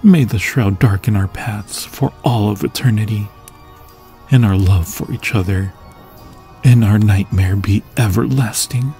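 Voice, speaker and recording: Slow, sinister ghost lover acted by a man. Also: on the low side, dark tone, soft background music. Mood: tender, sinister devotion. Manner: theatrical, slow, archaic solemn phrasing. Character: obsessive undead ghost lover, male, menacing, tenderly possessive. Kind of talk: ASMR fantasy roleplay acting.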